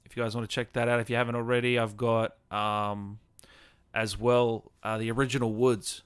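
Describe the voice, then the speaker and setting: A man's voice: mid-range voice, little pitch movement, normal speed, clean tone, no echo. A man hosting a live gaming stream, chatting casually and answering viewers in an informal, matey way. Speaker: live stream host and gaming content creator. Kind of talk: casual chat, reading and answering viewer comments.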